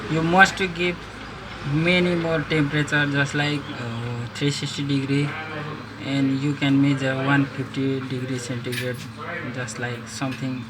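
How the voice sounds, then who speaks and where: A man whose first language is Nepali talks through a phone repair in halting, non-native English, with many pauses and restarts. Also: medium pitch, little pitch movement. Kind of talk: hands-on repair tutorial. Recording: loud background noise.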